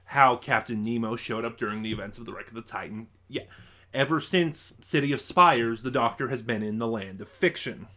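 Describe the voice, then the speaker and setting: Man giving a halting, casual review. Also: medium pitch, sharp tone. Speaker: reviewer, channel host. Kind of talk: casual spoken audio drama review.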